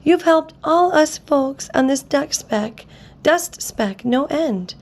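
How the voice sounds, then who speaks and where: A tiny, cheerful male town mayor, so small he can't be seen, calling out high and eager, as a woman puts him on for a storybook reading. His voice sits well above the reader's own and carries a thankful lift. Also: lively intonation, takes it slowly, a little mellow, full character voice. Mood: grateful.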